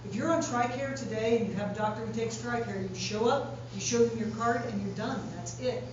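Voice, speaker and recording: A woman candidate giving a measured, plain-spoken speech at a public forum, repeating phrases for emphasis. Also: on the high side. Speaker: political candidate. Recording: echoey hall, distant mic, dull sound, background noise.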